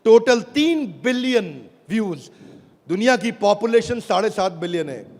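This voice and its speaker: A man giving an energetic stage keynote to a live audience, emphatic and crowd-rousing, calling out for responses. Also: sits high, measured pace. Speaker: motivational business speaker launching an app. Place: large auditorium with a live audience. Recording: reverberant hall, crowd and noise.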